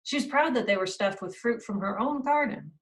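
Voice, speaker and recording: A woman's voice: fairly high pitch, animated, moderate pace, recorded from a distance. A woman author from California, reading from her book and then answering interview questions in a chatty way, with fillers and restarts. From California, USA. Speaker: author and journalist.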